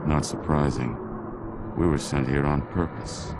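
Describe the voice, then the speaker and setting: A man's voice: rumbling low, steady tone, measured pace, background noise. A man playing a grim, terse superhero tactician in a video game, speaking in a clipped, stern way.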